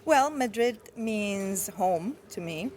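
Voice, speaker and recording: A woman from Iran whose first language is Farsi, a guest poet talking thoughtfully in a casual interview outdoors, with soft fillers. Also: mid-range voice, expressive, slow. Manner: reflective, with soft fillers and light laughs. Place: outdoors outside a bookshop.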